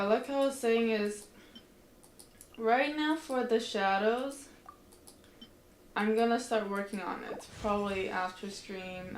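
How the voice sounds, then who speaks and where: A woman's voice: mid-range voice, reverberant, a little hiss or hum. A woman livestreamer chatting casually while playing a game, rambling in a slangy, informal way. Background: faint game music.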